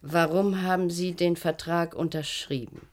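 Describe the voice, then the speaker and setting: An actress in a German radio play voicing a stern, sardonic matron of a secretive clinic, brusque, probing and condescending. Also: female voice, lowish voice, fairly even intonation, a little slow, lightly acted.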